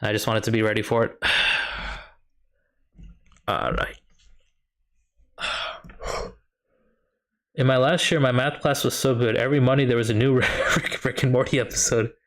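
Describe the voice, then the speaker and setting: A young American man with a light Chicago accent streams a strategy game, talking in a relaxed, mid-pitched voice. He muses half to himself and reads out viewer questions as they come in. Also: a little slow, pauses often. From United States. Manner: thinks aloud, reads and answers chat questions. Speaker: streamer and high school math teacher. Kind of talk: casual live-stream chat while gaming.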